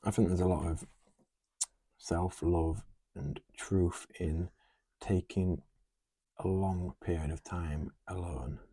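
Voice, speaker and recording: A man's voice: sits low, steady tone, slow pace, long pauses, mellow, slightly rough, dry recording. A man, talking earnestly and musing aloud on a podcast. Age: 20s. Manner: earnest, musing, talks through lists. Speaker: podcast co-host. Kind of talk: casual heartfelt conversation.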